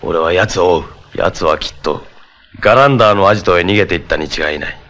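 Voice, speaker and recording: An actor playing a fierce, righteous young jungle superhero in a 1970s Japanese tokusatsu show, emphatic, terse and commanding. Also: male voice, low voice, fast talker, noisy background. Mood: grim, determined. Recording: vintage TV soundtrack with loud music. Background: loud music.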